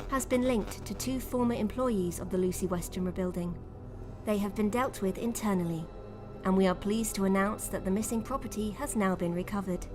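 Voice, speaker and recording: Casting: actress as impersonal female management announcer, formal and measured, audio drama trailer, music bed. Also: medium pitch, lightly acted. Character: cold female corporate spokesperson making an official announcement.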